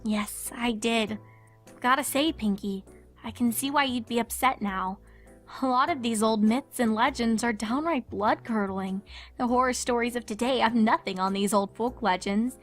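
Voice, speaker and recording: A woman voicing a studious, sincere young female unicorn in a cartoon fan fiction reading, earnest and articulate with a slightly gruff, sceptical streak. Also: a little high, moderate pace, soft background music, lightly acted. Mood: apologetic, sincere.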